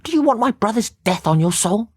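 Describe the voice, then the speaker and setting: A reader voicing a tense young woman pleading for her missing brother, in a breathy voice. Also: mid-range voice, lively intonation, brisk, no room sound, clean studio sound. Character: young woman, anxious sister in distress.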